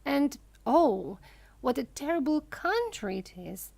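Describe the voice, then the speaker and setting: A woman reading aloud and voicing an eccentric, excitable male chocolate maker who gushes proudly over his creations. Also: sits high, slow, gravelly, no room sound, pitched above the performer's own voice, full character voice. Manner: gushing, showy and proud.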